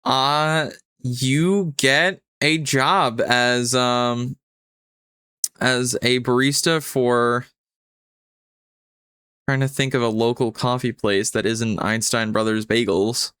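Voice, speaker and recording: Male game master, bright and smooth, very dry close sound, explains rules off the cuff. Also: medium pitch, steady tone, takes it slowly, no room sound. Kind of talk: improvised tabletop game narration and rulings.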